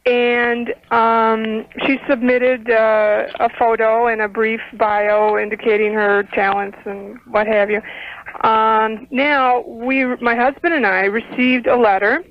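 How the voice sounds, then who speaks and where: Female caller in middle age, slightly high-pitched, measured and formal, over a dull phone line. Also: flat delivery, a little hiss or hum. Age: middle-aged. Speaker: radio show caller. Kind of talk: phone conversation explaining a question. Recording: dull phone call on radio tape.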